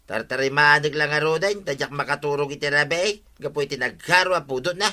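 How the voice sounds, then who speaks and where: An actor playing a grumbling, henpecked village husband in an Ilocano radio comedy, with an Ilocano accent. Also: male voice, on the high side, fairly quick, bright, forceful tone.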